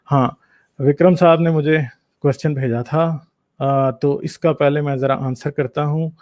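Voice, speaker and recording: A man's voice: fairly high pitch, darkish tone, dry recording. A man giving informal opinion commentary to viewers, explaining his points and mixing in English words. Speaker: online commentator hosting own channel.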